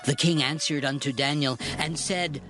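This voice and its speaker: A man's voice: fairly high pitch, fairly even intonation, moderate pace. A man narrating a dramatized scripture audiobook in a scripted storytelling style, with music underneath.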